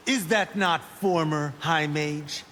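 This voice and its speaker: An actor playing a boastful, brash young warrior monk in video game banter. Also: male voice, on the high side, slow, a bit bright. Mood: taunting. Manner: proud, brash, self-assured. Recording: long hall reverb, clear background noise.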